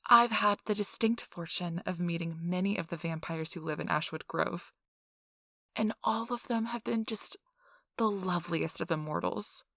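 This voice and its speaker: A woman's voice: fairly low pitch, normal speed, sharp tone, no room sound, clean studio sound. A woman playing a chatty, officious and reassuring interviewer at a vampire blood donation center in an ASMR role-play. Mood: gossipy, defensive.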